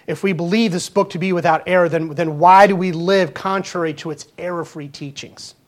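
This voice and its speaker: Earnest fifty-year-old male pastor preaching. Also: high-pitched. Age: 50s. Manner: earnest, conversational preaching with restarts and rhetorical questions. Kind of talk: sermon.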